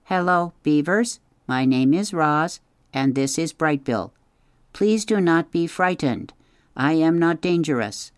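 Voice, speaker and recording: A reader voicing a polite female robot who has adopted a gosling, speaking in a measured, formal way without contractions. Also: mid-range voice, animated, clear, resonant tone, no echo, studio quality, voice pushed up.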